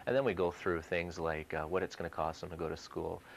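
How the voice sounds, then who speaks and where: A young man working in student recruitment, explaining his school visits in a brisk, enthusiastic way. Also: low-pitched, steady tone, vocal fry, a little hiss or hum. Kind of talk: interview explanation.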